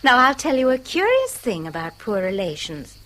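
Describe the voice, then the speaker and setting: A woman playing a sharp-tongued, snobbish, impatient rich wife in a radio drama. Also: on the high side, wildly swooping pitch, moderate pace, rough recording. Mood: cool, pointed. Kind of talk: British stage-style radio drama acting.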